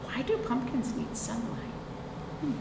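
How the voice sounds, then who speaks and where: A woman's voice: on the high side, big pitch swings, unhurried. A woman hosting a children's storytime, reading aloud expressively and prompting young listeners with simple questions. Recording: roomy, distant mic, clearly noisy.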